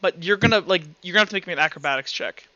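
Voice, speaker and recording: A man's voice: high-pitched, racing, sharp tone, muffled recording. A man running a tabletop roleplaying game as game master, talking casually with his players.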